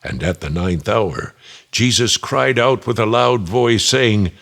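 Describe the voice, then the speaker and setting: A man's voice: lowish voice, slow, gravelly, a bit bright, heavily acted. A man giving a solemn dramatic narration of a scripture story.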